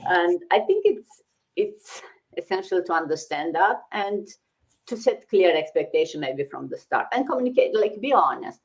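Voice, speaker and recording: Low-voiced woman hosting, encouraging. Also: animated, normal speed, rough recording. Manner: encouraging, thinks aloud with many fillers and tag questions. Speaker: host. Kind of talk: casual business talk show.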